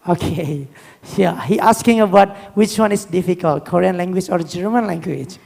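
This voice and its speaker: A man's voice: high-pitched, normal speed, vocal fry, a little mellow. A man with an Indonesian accent moderating a live panel in informal, simple English, often repeating okay and yeah. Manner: repetitive okays and yeahs. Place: hall on a stage, live event. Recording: echoey hall sound.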